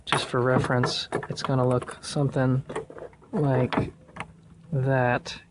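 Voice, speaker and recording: A man giving a casual, hands-on do-it-yourself tutorial in a halting, thinking-aloud way. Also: mid-range voice, flat delivery. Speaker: DIY car project vlogger. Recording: noisy recording with clicks.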